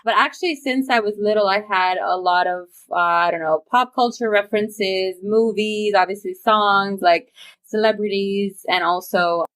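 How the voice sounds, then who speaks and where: A woman's voice: mid-range voice, normal speed, fairly bright tone. A young woman from Romania, a podcast guest talking eagerly and chattily over a remote call, laughing easily. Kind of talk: casual interview chat.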